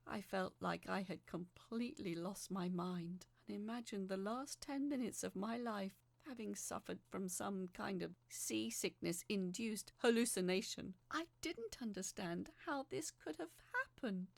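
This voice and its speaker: A woman's voice: mid-range voice, expressive, a little slow, vocal fry, few pauses, no room sound. A young woman performing a dramatic first-person horror narration in an emotive, suspenseful way.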